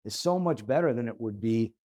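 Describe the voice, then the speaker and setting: Male host, relaxed mellow tone, mid pitch, articulate and conversational explainer. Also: a little fast. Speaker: host and audio reviewer. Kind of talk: conversational explanation of audio setup tips.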